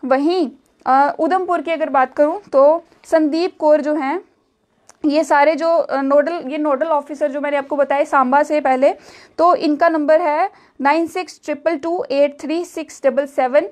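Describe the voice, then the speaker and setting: Woman, high voice, news presenter, informal explanatory delivery, repetitive phrasing, speaks directly to viewers. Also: steady tone, measured pace. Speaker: presenter reading public helpline information.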